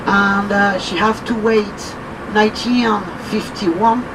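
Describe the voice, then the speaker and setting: A woman's voice: medium pitch, fairly even intonation, takes it slowly. A woman from Normandy with a French accent, giving a chatty guided tour and stopping to explain terms. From Normandy, France. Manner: chatty, explains terms, asks listeners to check they follow. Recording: distant voice with noisy vehicle background.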